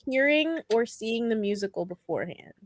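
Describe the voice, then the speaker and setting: ऊँची आवाज़ वाली महिला पॉडकास्ट होस्ट. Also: female voice, fairly high pitch, lively intonation, normal speed, slight background noise. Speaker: podcast host. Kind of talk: casual group discussion.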